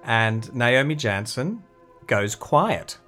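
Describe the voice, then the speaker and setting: Very deep-voiced woman. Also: slow pace, loud music behind the voice. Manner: laughs a lot, lively interjections. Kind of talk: casual chat.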